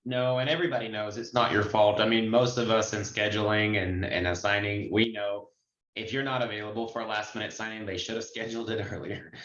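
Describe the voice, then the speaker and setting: A man's voice: fairly low pitch, little pitch movement, fairly quick, a bit bright. A man giving candid, informal instruction as a trainer answering questions on an online group call. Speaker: notary trainer. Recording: dull, echoey online call audio.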